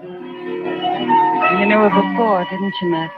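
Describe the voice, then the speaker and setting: An actress playing a knowing, quietly caring American frontier woman in a 1950s radio western, with a slow, pointed delivery. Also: mid-range voice, huge pitch swings. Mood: pensive, probing. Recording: dull vintage radio recording with music behind.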